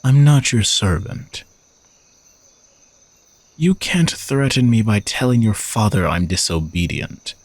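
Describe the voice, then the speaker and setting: A man's voice: on the low side, lively intonation, slow pace, long pauses, no room sound. A man playing an arrogant, sardonic knight who is a reluctant royal bodyguard, teasing and condescending in a romantic audio roleplay. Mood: exasperated, smug. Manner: teasing, dry, exasperated sighs, condescending.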